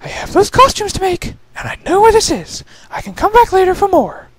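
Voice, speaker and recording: A dramatic, ladylike pony speaks in a very high, swooping falsetto, performed by a man. She is fussy and theatrical, given to scolding herself. It suits a cartoon-based storybook reading. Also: huge pitch swings, brisk, a bit bright, no echo, full character voice. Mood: eager, resolute. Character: dramatic, fussy young female pony, gem-loving and ladylike.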